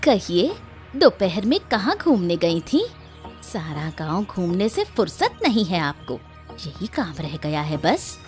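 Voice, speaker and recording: A woman's voice: fairly low pitch, big pitch swings, slow, darkish tone, faint music behind. A woman voicing a cruel, bossy young daughter-in-law with a scornful, scolding manner in an acted story. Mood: accusing, angry. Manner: scolding, taunting. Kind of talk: Hindi audio story acting.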